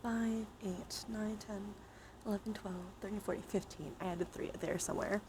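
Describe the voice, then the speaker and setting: Casting: female livestream host, mid-pitched, playful and sweary, casual chat delivery, faint noise behind. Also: fairly even intonation, normal speed. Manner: playful, giggly, swears freely. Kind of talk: casual livestream chat with viewers during a dice game.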